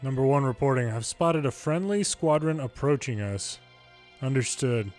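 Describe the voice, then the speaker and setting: Male narrator, mid-pitched, reading game cutscene lines aloud, faint music behind. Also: normal speed, darkish tone. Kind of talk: reading game story dialogue aloud in English.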